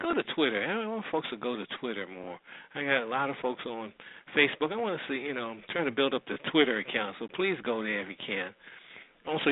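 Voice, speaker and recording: Phone-like male radio host, casual. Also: mid-range voice. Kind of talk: casual radio show sign-off. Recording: thin phone-like band-limited sound.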